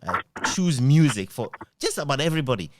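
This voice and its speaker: A Ghanaian man in a reminiscing conversation, speaking in a reflective, halting way. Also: mid-range voice, very animated, background noise.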